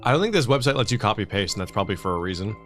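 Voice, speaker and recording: A man's voice: on the low side, big pitch swings, very fast. Male livestream co-host with music behind. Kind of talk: casual livestream chat, reading comments aloud.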